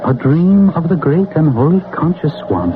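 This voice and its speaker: An actor playing a serene, otherworldly monk in a radio drama, speaking in a solemn, cryptic, chanting cadence. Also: male voice, on the high side, big pitch swings, normal speed. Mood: solemn, mystical. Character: serene, otherworldly monk who spins the fates. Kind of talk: old-time radio drama acting. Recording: tinny, noisy old radio broadcast recording.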